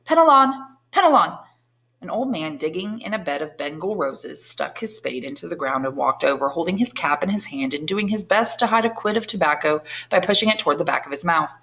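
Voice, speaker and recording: A woman, an English teacher, reading a classic novel aloud in steady, clear storytelling. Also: low voice, brisk, few pauses. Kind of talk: audiobook narration.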